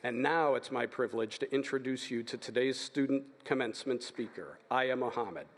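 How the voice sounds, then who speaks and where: A man giving formal, steady announcements as presenter at a graduation ceremony. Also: medium pitch, moderate pace, noisy background. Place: large hall. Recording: long reverb of a large hall.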